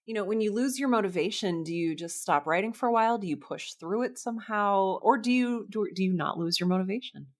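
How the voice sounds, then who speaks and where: A woman's voice: mid-range voice, fairly quick, few pauses. A woman hosting a podcast, asking questions in a casual, conversational style. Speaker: podcast host and interviewer.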